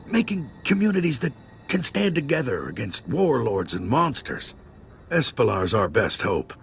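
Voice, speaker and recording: Earnest game idealist, gravelly, animated delivery, exhorting, music behind. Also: male voice, medium pitch, normal speed, a little hiss or hum. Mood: earnest, urgent. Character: impassioned male desert idealist urging planetary unity. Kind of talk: video game voice acting. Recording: background music under the voice.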